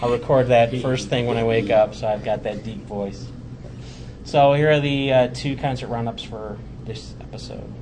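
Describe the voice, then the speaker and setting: A man's voice: medium pitch, steady tone, moderate pace, crowd noise, poor audio. A man hosting a podcast, talking casually with fillers and then reading a prepared roundup. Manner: conversational, hesitant with fillers, reads a prepared roundup. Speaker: podcast host. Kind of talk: casual podcast chat and show recap.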